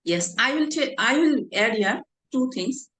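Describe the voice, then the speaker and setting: A woman, asking questions in a casual practice chat, halting and searching for words. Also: on the high side, expressive, a bit bright. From India. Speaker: interviewer. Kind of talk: casual English practice conversation. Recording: echoey room.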